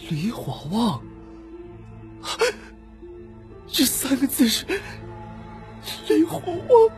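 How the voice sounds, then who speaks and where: A man's voice: extremely high pitch, huge pitch swings, slow pace, a little mellow, pitched above the performer's own voice, exaggerated voice. A man voicing an unstable, haunted young man who frantically denies his guilt in an audiobook, muttering and repeating himself. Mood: panicked denial. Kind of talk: audiobook character acting. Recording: dramatic music behind the voice.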